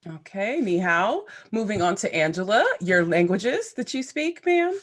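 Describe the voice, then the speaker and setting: A woman's voice: mid-range voice, big pitch swings, measured pace. A woman hosting a panel chat over a video call, playful and teasing with her guests. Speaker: host.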